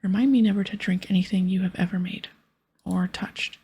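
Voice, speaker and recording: A woman's voice: medium pitch, fairly even intonation, fairly quick, warm tone, husky. A woman voicing a wry female adventurer in game dialogue, whispering breathily. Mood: wry, puzzled. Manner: wry, questioning.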